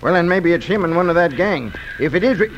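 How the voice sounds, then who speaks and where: An actor playing a fair-minded Old West deputy with an American Western accent and folksy frontier talk in an old radio drama. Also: male voice, high-pitched, lively intonation, fast. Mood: alert. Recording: dull, noisy old radio recording.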